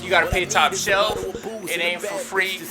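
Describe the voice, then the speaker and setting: A man's voice: high-pitched, level tone, normal speed, over music. A man hosting a casual interview, loose and joking, speaking in slang and turning to address the viewers. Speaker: interviewer host.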